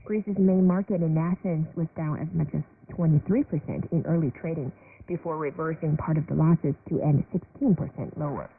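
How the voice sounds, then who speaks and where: A woman's voice: low-pitched, moderate pace. Female business newsreader on thin shortwave radio. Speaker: business news presenter. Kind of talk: scripted market news bulletin. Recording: noisy, narrowband shortwave radio broadcast.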